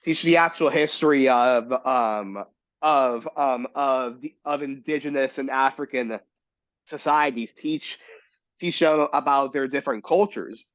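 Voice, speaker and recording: A sick man with a raspy voice, reading a letter aloud and talking in a casual group discussion with many restarts. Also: medium pitch, measured pace, a bit bright, no echo, lo-fi sound. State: sick, coughing.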